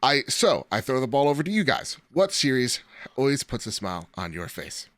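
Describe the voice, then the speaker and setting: A man's voice: mid-range voice, sing-song, moderate pace, a bit bright, no echo. A man from New Jersey hosting a podcast, joking and reading out listener mail. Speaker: podcast host. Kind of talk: casual chat.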